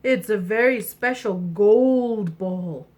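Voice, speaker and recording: A woman voicing a fatherly, solemn storybook king who speaks in a measured, earnest way. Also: medium pitch, a little mellow, voice pushed down. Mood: solemn, proud. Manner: measured, earnest, stressing words. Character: fatherly, solemn, doting old-fashioned king.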